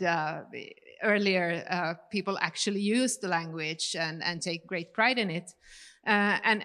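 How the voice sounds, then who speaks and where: A woman's voice: medium pitch, sharp tone. A middle-aged woman from Finland with a Finland-Swedish accent, a native Swedish speaker answering on a panel in English with many restarts and fillers.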